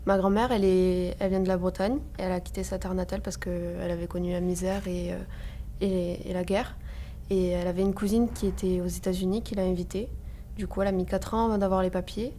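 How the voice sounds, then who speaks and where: Mellow-voiced teen girl, hesitant. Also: mid-range voice, flat delivery, a little fast, slight background noise. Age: teens. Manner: hesitant, with fillers. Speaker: student telling family history. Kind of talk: personal testimony.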